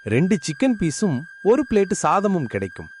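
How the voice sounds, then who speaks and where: A man's voice: sits high, huge pitch swings, moderate pace, darkish tone, a little hiss or hum. A man playing a pleading street food vendor.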